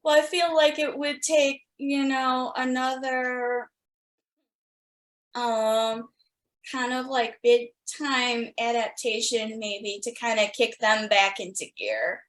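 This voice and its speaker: Slow-talking woman hosting, higher voice. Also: steady tone, a bit bright. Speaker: podcast host, interviewer. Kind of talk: casual chat.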